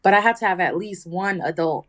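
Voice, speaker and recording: Low-voiced woman, casual interview guest. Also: fairly quick, fairly bright tone. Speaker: music artist being interviewed. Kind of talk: casual phone interview answers.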